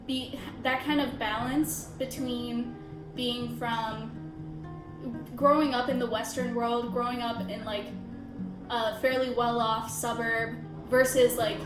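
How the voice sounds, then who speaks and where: A woman's voice: fairly high pitch, reverberant, poor audio. A woman in her twenties, an architecture student, speaking reflectively in a casual interview with many filler words. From India, raised in Chicago suburbs. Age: 20s.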